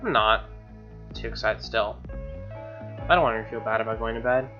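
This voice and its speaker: A man's voice: lowish voice, normal speed, dull audio, faint music behind. A man reading a visual novel aloud as a gamer, mixing narration with informal, slangy asides. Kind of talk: dramatic reading with casual asides.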